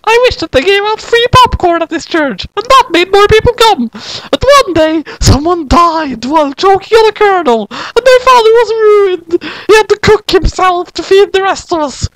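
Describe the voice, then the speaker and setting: A narrator voicing a brash, weepy girl magical fighter in a very high squeaky falsetto, given to dramatic confessions in a parody story. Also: lively intonation, normal speed, fairly bright tone, dry recording, low-quality recording. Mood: tearful confession.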